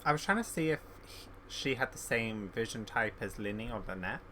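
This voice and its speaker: A man's voice: medium pitch, expressive, a little fast, background noise. A man giving casual, rambling commentary as a game streamer, thinking aloud and opinionated.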